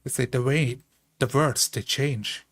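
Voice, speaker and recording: A man playing a grave, wary adventurer in a tabletop game, speaking in formal, measured, terse phrases. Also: medium pitch, fairly even intonation, a bit bright, dry recording.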